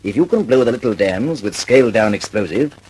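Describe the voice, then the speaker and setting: An authoritative British knight of government science in a radio play. His voice is very raspy and lively in pitch, and he talks fast. He is methodical and supportive. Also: low-quality recording. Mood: encouraging, decisive. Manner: decisive, methodical, encouraging. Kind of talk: mid-century British radio drama acting.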